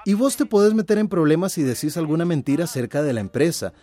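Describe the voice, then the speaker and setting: A loyal company man in a radio soap. His male voice is fairly high and very animated, insistent and earnest, with faint music behind it. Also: quick pace. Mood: defensive, insistent. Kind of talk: radio soap opera acting.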